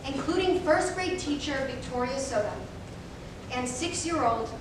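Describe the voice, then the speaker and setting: A woman's voice: lively intonation, unhurried. A woman performing dramatic scripted narration in reader's theater. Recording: distant, very echoey room, noisy rumble.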